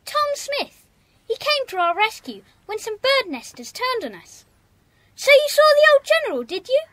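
A woman's voice: very high-pitched, very animated, normal speed, fairly bright tone, no echo, heavily acted, poor audio. A woman with a British accent playing an eager, forthcoming child club member in a children's audio drama. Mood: keen, friendly.

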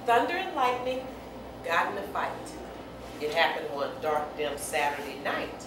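A middle-aged woman reading and chatting to children in an expressive, playful, teasing way, like an author sharing her poem. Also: sits low, a little slow. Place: bare indoor room with office chairs. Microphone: far camera microphone. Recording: echoey room, far mic, noisy.

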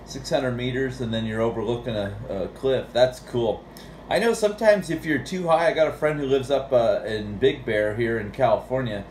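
A man's voice: mid-range voice, moderate pace, darkish tone. Chatty American man in a roomy space. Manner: chatty, rambling. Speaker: CB radio operator. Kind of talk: casual technical radio chat. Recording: roomy, with background radio noise.

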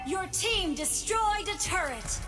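A woman playing a commanding battle announcer in a video game, with measured, declarative delivery. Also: very high voice, lively intonation, full character voice. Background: loud game music.

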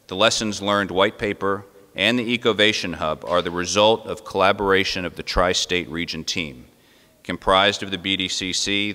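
Deep-voiced official reading a formal speech. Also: male voice, bright, forceful tone. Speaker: federal economic development official. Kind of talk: prepared speech read aloud. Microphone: podium microphone. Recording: reverberant hall.

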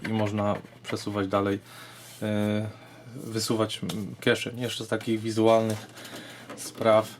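A man's voice: fairly low pitch, a little hiss or hum. A man hosts a casual showcase of audio gear, explaining in short, unscripted, somewhat hesitant phrases. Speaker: host of an audio gear repair channel. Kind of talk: casual product showcase vlog.

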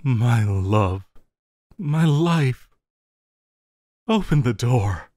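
A man playing a lovesick, possessive supervillain in an intimate audio roleplay, pleading haltingly and using tender endearments. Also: medium pitch, lively intonation, mellow, no room sound. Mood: pleading, remorseful. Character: lovesick, possessive yandere supervillain pleading with his beloved hero.

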